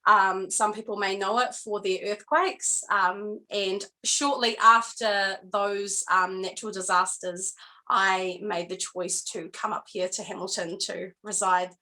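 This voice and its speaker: A woman with a New Zealand accent, a guest artist talking in a casual interview, earnest and reflective and halting with many pauses. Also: medium pitch, steady tone, bright, forceful tone, low-quality recording.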